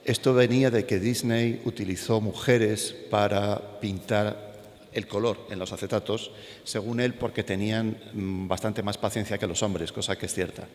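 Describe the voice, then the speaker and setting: A man's voice: lowish voice, steady tone, normal speed. A man with a Castilian Spanish accent giving an informal talk to an audience, telling anecdotes and putting questions to his listeners. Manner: conversational, puts questions to the audience. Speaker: animator, panel speaker. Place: school event hall with an audience. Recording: echoey hall, some background noise.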